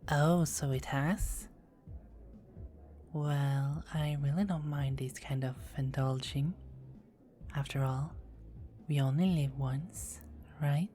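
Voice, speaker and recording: A woman's voice: low-pitched, steady tone, slow, long pauses, warm tone, soft background music. Woman whispering as a teasing vampire girl. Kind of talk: ASMR roleplay.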